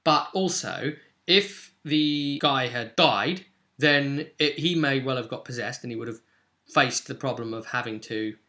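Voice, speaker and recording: Bright-voiced man recapping a tabletop game. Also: mid-range voice, unhurried. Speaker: game master.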